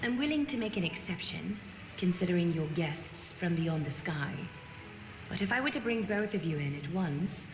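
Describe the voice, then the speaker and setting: A woman voicing a composed, gracious leader in a game, speaking in a measured, formal and gently authoritative way. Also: fairly low pitch, animated, rough recording. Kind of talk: scripted game dialogue. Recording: clear music behind. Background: music.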